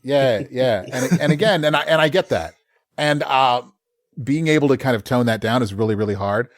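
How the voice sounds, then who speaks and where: A man in casual interview talk, a working voice actor telling stories about his job. He speaks at a middle pitch, animated, and drops into quick put-on voices as he goes. The sound is dry and close to the mic. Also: dry recording. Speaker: voice actor guest.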